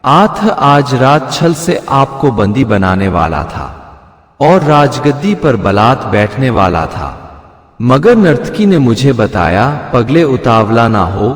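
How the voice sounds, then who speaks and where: A man's voice: mid-range voice, sing-song, slow pace. A man voicing a young, impatient prince, now repentant and respectful, in a storybook tale. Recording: echoey hall-like reverb.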